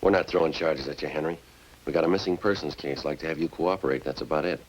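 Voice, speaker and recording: Terse detective sergeant, bottom-deep voice, understated, methodical, 1950s radio drama, faint background noise. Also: male voice, fast talker, dull audio. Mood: matter-of-fact. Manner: clipped, matter-of-fact, understated. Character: terse, methodical male police sergeant interrogating a suspect. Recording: noisy old-time radio recording.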